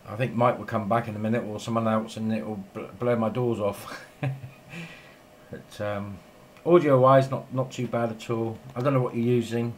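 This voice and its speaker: A man's voice: medium pitch, steady tone, moderate pace. A man chatting casually and in a rambling way as a radio operator filming in his home shack. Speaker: amateur radio operator and video maker.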